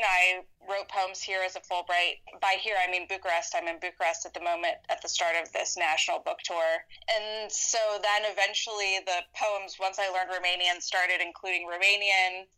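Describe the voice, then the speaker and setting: A woman's voice: medium pitch, sharp tone, poor audio. An American woman in her thirties, a poet and historian, answering interview questions in a chatty, storytelling way and laughing often. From United States. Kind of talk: relaxed conversational interview answers.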